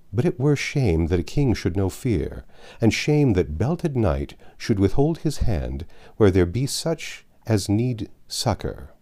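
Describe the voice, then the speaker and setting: Casting: male narrator as proud knightly king for a storybook, resolute and stately, low soft-edged voice. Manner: stately archaic speech. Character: brave, stubborn, chivalrous king.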